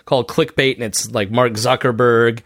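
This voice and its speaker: A man's voice: mid-range voice, fairly even intonation, moderate pace, a bit bright, no echo, studio quality. A man co-hosting a podcast, talking in a hesitant way with many fillers while thanking listeners. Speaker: podcast co-host. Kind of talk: casual chat.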